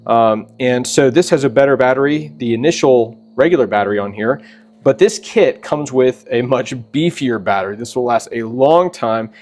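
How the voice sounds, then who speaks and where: A man hosting a casual product walkthrough, talking in an informal, halting and rambling way. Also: medium pitch, a little mellow. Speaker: host of a preparedness channel.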